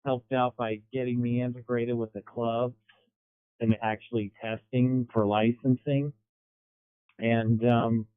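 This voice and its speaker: A man's voice: mid-range voice, flat delivery, unhurried, clear, resonant tone, no room sound. A middle-aged American man chatting casually as a caller over an amateur radio link. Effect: amateur radio transmission. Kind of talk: casual radio check-in chat. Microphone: two-way radio. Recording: narrow phone-like radio transmission.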